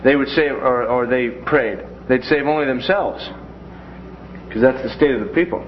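A man's voice: mid-range voice, moderate pace. A man teaching a Bible class in a classroom in a folksy way, with joking asides. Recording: dull lo-fi classroom recording with faint noise.

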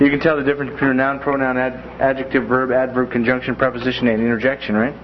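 A man's voice: medium pitch, level tone, fast. A man leads a Bible lesson in a classroom in a folksy manner, with joking asides. The recording is dull and lo-fi, and faint hiss runs beneath his voice. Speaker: Bible teacher.

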